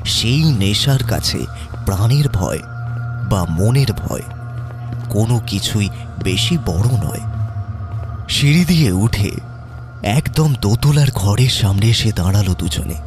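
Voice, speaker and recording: Slow, low-voiced male horror narrator. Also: expressive. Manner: slow, measured storytelling. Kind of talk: scripted horror story narration. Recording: narration over background music.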